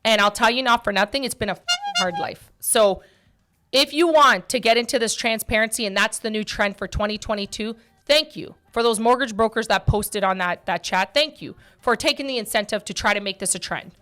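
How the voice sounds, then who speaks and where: A woman's voice: on the high side, sing-song, a little fast, a bit bright. A woman in her early forties talking casually and directly to listeners as a host, blunt and emphatic, often repeating phrases for stress. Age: early 40s. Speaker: podcast host and mortgage brokerage owner.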